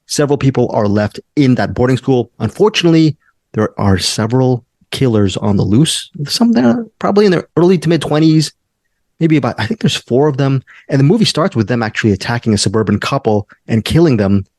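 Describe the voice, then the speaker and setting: A man hosts a casual film review discussion. His voice sits at a medium pitch and is recorded dry, with very little room in it. He talks conversationally, steering the chat toward his co-hosts. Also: expressive, fairly quick, darkish tone, no echo. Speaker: host of a film review show.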